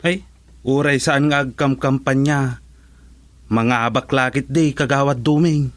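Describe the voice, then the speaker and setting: A man's voice: mid-range voice, steady tone, unhurried, no room sound, close to an everyday voice. A man with a Filipino accent acting as an easygoing, talkative village council candidate in a comedy radio drama, full of exclamations. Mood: conversational.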